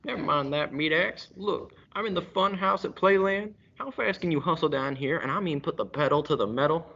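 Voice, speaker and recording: A man's voice: a little fast, vocal fry, sharp tone, exaggerated voice. A man playing a scared, harsh foreign informant who talks in urgent, impatient gangster slang in a radio play crime parody. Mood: impatient, snappish. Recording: dull lo-fi recording with rumbling noise.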